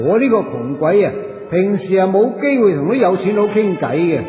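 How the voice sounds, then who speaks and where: Cheeky Cantonese wandering soul, echoey. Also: male voice, sits high, animated, a little slow, soft background music. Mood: mischievous, playful. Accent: Hong Kong Cantonese. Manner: colloquial, playful, coaxing. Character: cheeky, chatty, poor male wandering soul. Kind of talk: Cantonese radio drama acting. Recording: dull echoey audio with long reverb.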